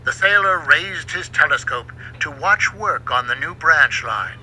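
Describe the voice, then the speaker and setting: A man reading a children's storybook aloud in an expressive, dramatic way. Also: on the high side, a little slow, rough recording. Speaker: book narrator. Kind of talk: scripted storybook narration for children. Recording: home recording with faint background noise.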